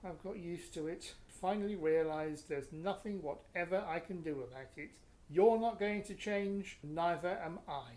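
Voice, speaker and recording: An actress playing a blunt, weary but loving partner in a radio drama. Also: female voice, fairly low pitch, normal speed, few pauses, dark tone, lightly acted. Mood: exasperated. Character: weary, exasperated, loving long-term partner.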